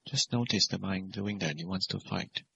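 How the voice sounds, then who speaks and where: A man teaching guided meditation as a Buddhist monk, speaking in measured, simple phrases and gentle questions. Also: low-pitched, vocal fry, a little hiss or hum. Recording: dull, lo-fi recording.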